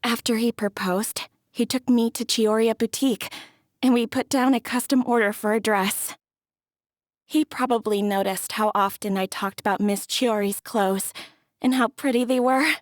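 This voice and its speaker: A young bride-to-be from a video game played by a woman, with a fairly high voice. She speaks earnestly and gently, grateful and slightly hesitant. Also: fairly even intonation, moderate pace, no echo, studio quality, natural voice. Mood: wistful. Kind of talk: video game character acting.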